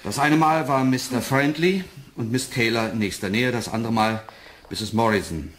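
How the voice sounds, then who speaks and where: An actor playing an earnest, embattled scientist in a German radio play, speaking with urgent indignation. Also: male voice, mid-range voice, moderate pace, a bit bright, slight background noise, close to an everyday voice. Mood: earnest, tense. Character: earnest, embattled male scientist insisting on his story.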